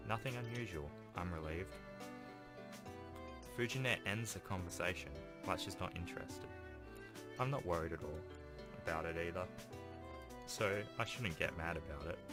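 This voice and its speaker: Low-voiced man reading a game aloud. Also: normal speed, pauses often. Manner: informal, makes offhand asides between read passages. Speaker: gaming video host. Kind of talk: reading a visual novel aloud with casual commentary. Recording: game music playing behind the voice. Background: game music.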